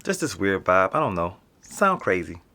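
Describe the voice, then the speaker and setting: A blunt young tenant who swears casually and sounds uneasy. His voice sits low but swings widely in pitch. He comes across as an audio drama character. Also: very animated, normal speed, sharp tone, heavily acted. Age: young adult. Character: young male lifelong tenant, wary and blunt.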